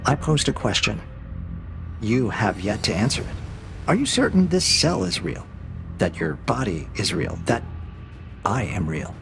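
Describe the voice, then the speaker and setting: Audio drama AI interrogator, played by a man: mid-pitched, gravelly edge, cold, measured, quietly mocking. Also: big pitch swings, music in the background. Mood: coolly probing. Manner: measured, formal, deliberate, needling with questions. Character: cold, probing male AI interrogator, calm and mocking. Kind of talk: science fiction audio drama acting.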